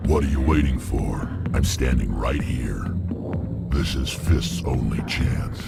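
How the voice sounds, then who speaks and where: An actor playing a huge, battle-hardened alien mercenary in a video game, terse and menacing in a deep, gravelly voice. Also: male voice, full character voice. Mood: menacing calm. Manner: slow-burning threat, terse. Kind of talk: video game cutscene acting. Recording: loud music and rumble behind.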